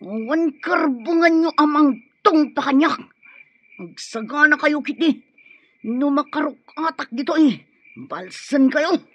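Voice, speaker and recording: An actor with a Filipino accent playing a surly, cagey, cornered gang henchman with a husky voice in a radio drama. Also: high voice, lively intonation, a little slow, muffled recording. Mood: defiant.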